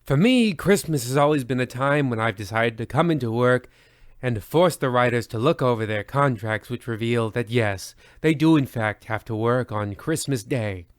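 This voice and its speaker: A man's voice: medium pitch, moderate pace, no echo, natural voice. Man playing a pompous, dry-sounding radio host. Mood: pompous, deadpan. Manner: formal, deadpan. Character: pompous, tyrannical horror-anthology radio host. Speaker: narrator and host. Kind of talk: old-time radio scripted narration.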